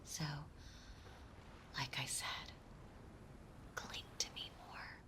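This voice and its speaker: A woman playing a possessive, playful yet menacing mermaid in an intimate roleplay audio drama, speaking in a soft, breathy, halting and teasing way. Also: whispered. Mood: sweetly possessive. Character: possessive yandere mermaid, playful, sweetly menacing young female. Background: ocean ambience sound effects.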